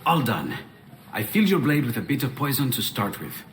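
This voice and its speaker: An actor playing a friendly, eager Renaissance inventor with an Italian accent in a video game. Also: male voice, mid-range voice, sing-song, a little fast, natural voice. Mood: helpful. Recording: reverberant room with faint noise.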